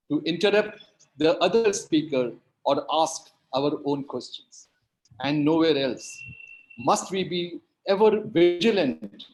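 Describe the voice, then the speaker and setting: A man whose native tongue is Hindi and Urdu speaks at a panel like a lecturer. His voice sits a little high, and he stretches out stressed words and builds emphasis through repeated phrases. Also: a little mellow, poor audio. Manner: emphatic, rhetorical repetition, drawn-out stresses. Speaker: linguistics academic, panelist.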